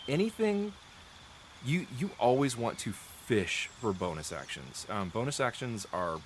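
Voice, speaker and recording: A man's voice: medium pitch, big pitch swings, takes it slowly, pauses often, a bit bright, slight background noise. A man explaining game spells as he plays, rambling and correcting himself, with a dry throat and frequent coughs, close to a desk mic. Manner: chatty, rambling, restarts and self-corrections, thinks aloud. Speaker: gameplay streamer. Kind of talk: explaining game mechanics while playing.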